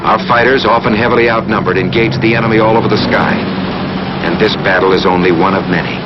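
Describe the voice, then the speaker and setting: A man narrating a scripted 1940s war documentary in a solemn, measured, dramatic way. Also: fairly low pitch, fairly even intonation, dull audio, loud music behind the voice. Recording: noisy vintage film soundtrack, aircraft engine drone.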